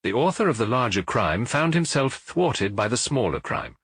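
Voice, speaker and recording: A man voicing a little priest detective in an audiobook, gentle and earnest as he explains in a measured, lecturing way. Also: mid-range voice, slightly rough, no room sound, exaggerated voice. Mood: earnest explaining. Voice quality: gentle, even. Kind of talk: audiobook character dialogue.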